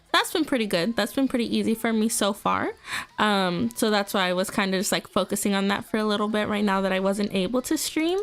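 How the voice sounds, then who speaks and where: A young woman with a congested voice, chatting casually and rambling with lots of fillers as a livestream host. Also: a little high. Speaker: VTuber streamer. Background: faint music.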